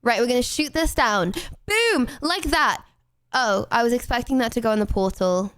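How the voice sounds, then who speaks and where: A young British woman streaming a game, chatting casually with her chat and breaking into playful, excited exclamations. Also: fairly high pitch, normal speed, clean tone, no echo. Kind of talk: casual gameplay commentary to chat.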